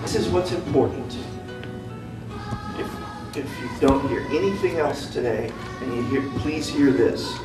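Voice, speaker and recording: A man's voice: medium pitch, a little slow. A man giving an impassioned public speech as a community activist, driving home repeated calls to action. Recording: echoey room, distant mic, music behind.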